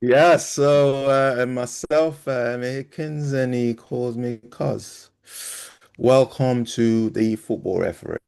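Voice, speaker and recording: A man's voice: mid-range voice, steady tone, slow, creaky, a little mellow, clean tone. A man hosting a football podcast over a call, easygoing, often repeating phrases as he runs the show. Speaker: podcast host. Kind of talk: casual podcast chat.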